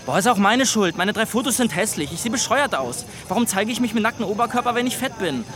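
A man's voice: sits high, animated, fast, a bit bright, a little hiss or hum. A man playing a crude, frustrated, self-loathing teenage boy who rambles in whiny slang in a radio drama. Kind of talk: colloquial radio drama dialogue.

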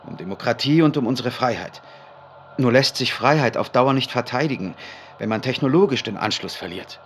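Ein Schauspieler spielt in einem Hörspiel einen ernsten, idealistischen Wissenschaftler mittleren Alters, der förmlich und bedacht einen Protokolleintrag diktiert. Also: male voice, mid-range voice, a little hiss or hum, natural voice. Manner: formal, measured, explanatory. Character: Dr. Michael Heine, earnest, idealistic middle-aged male scientist father. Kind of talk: dictated log entry, audio drama acting.

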